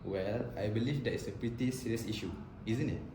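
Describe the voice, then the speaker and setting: A man's voice: on the low side, fairly quick. A man asking rehearsed questions as a television-style interviewer. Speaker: interviewer posing as a TV reporter. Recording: echoey, noisy ambient recording.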